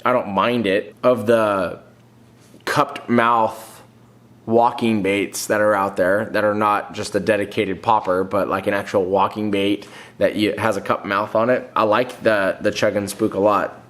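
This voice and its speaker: A man's voice: lowish voice, flat delivery, measured pace, fairly bright tone. A man in his thirties hosting a fishing vlog, answering viewer comments in a plain, rambling way, sounding nasal from a cold. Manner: plain-spoken, restarts often, reads comments aloud. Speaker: fishing channel host. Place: home room, indoors.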